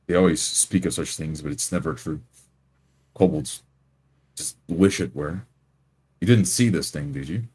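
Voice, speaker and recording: A man playing a grim, skeptical, resolute adventurer in a tabletop roleplay, speaking tersely and deliberately. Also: very deep voice, darkish tone, husky.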